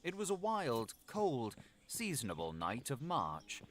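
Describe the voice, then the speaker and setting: A man's voice: mid-range voice, huge pitch swings, a little slow. A man reading literary fiction aloud as a dramatic audiobook narrator. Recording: loud vehicle and machinery noise throughout. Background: game vehicle engine noise.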